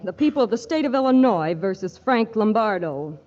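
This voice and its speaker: A woman acts out a cool, dry-witted lady champion in old radio comedy. She answers in deadpan comebacks at a medium pitch, on a muffled old broadcast. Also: normal speed, close to an everyday voice. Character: cool, sharp-tongued female diving champion. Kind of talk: 1940s radio comedy acting.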